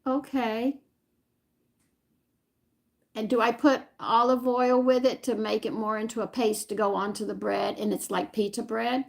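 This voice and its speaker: A woman's voice: fairly high pitch, steady tone, measured pace, creaky, long pauses. A woman hosting a home cooking live stream, chatting casually with viewers and rambling aloud as she searches her memory. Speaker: home cooking channel host.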